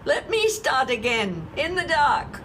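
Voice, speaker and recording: A woman playing a despairing, scornful woman in an audio drama monologue, haltingly lecturing an unseen listener on humanity's end. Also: high-pitched, very animated, fairly bright tone, a little hiss or hum, voice pushed up, heavily acted. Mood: mocking, exasperated. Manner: deliberate, halting, rhetorical, addressing an unseen listener.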